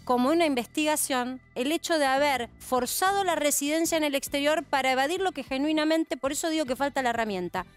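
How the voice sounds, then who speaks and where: A woman's voice: high-pitched, brisk, few pauses, faint music behind. A woman in her thirties with an Argentine accent, hosting a studio news panel and explaining with rhetorical questions. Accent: Argentine Spanish. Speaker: news host.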